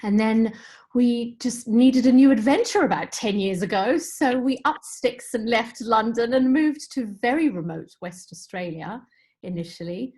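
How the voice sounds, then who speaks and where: A woman's voice: a little high, unhurried, a little mellow. Reflective woman musician telling her story. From Zimbabwe. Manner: reflective, storytelling, frequent "you know". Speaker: guest musician. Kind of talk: conversational interview.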